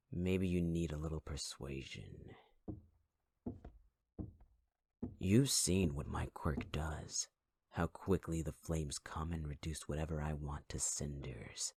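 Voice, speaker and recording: Male actor as a scarred, possessive villain: very low, husky, taunting half-whisper, dry close mic. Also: darkish tone, dry recording, exaggerated voice. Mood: menacing. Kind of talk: ASMR audio roleplay.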